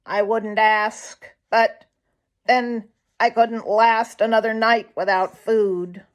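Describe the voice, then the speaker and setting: A woman's voice: fairly high pitch, level tone, slow, voice pushed up. Storybook jay, acted by a woman: starving bird, meek and mannerly, mumbled pleading delivery. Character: meek, starving, very polite male blue jay. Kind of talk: children's storybook character voice.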